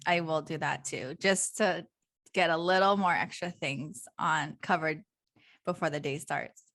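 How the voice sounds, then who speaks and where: A woman's voice: low-pitched, a little slow, fairly bright tone, dry recording, studio quality. A woman co-hosting a podcast in casual chat, giving brief agreeable answers.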